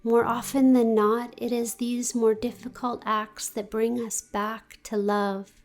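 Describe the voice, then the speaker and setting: Slow, even-voiced woman guiding meditation. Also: on the high side. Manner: gentle, deliberate, soothing delivery with long pauses. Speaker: yoga nidra meditation guide. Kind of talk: guided meditation, scripted narration.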